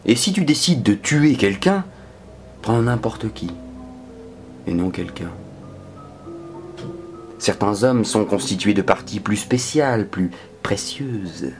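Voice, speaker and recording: Deep-voiced man reciting poetry over faint music. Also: expressive, takes it slowly, pauses often, a little mellow. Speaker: poetry reader. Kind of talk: dramatic spoken-word poetry recitation.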